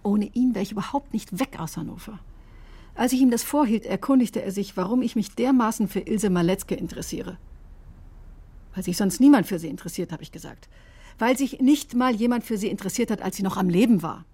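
Woman doctor, radio drama: mellow, slightly husky, clinically precise, defensive, burdened by conscience. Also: medium pitch, brisk. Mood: troubled, defensive. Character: defensive, conscience-stricken woman doctor recounting a suspicious death. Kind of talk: German radio drama acting, testimony-like narration.

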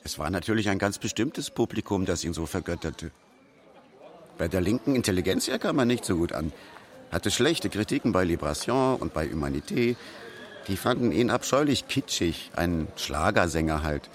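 An actor playing a wry, opinionated commentator in a radio drama monologue. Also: male voice, low voice. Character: wry man commenting on a singer's career.

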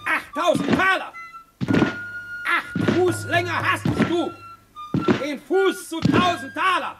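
An actor playing a gruff, tyrannical Prussian king in a German radio play, barking and scolding his orders. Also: very high-pitched, slow pace, loud music behind the voice. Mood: furious.

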